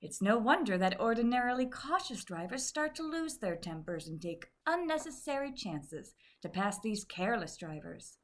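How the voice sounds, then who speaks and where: A woman's voice: mid-range voice, fairly bright tone. A woman announcer reading a scripted public service message in old-time radio style.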